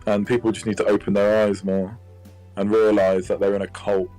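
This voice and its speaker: A man with a fairly low voice speaks candidly and informally. His manner is blunt and disillusioned. Faint music plays behind his words. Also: little pitch movement, a little fast, warm tone, poor audio.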